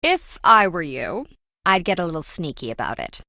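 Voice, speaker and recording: A woman's voice: fairly low pitch, big pitch swings, normal speed, creaky, bright, forceful tone, dry recording, clean studio sound, full character voice. A woman playing a brash, self-important treasure hunter in a video game, sarcastic and slangy, prone to flippant, dramatic complaining. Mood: sardonic, bossy. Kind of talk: video game character dialogue.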